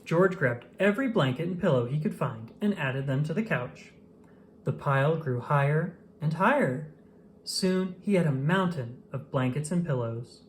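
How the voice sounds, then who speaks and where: A person reading a children's storybook aloud in an expressive, animated way with dramatic emphasis. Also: mid-range voice, normal speed, slight background noise. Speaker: children's book reader.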